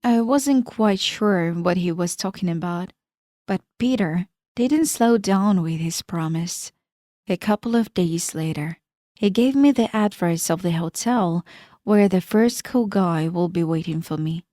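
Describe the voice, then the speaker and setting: A woman's voice: on the low side, a little slow, dark tone, dry recording, studio quality. A woman narrating a first-person life story in a plain, matter-of-fact reading.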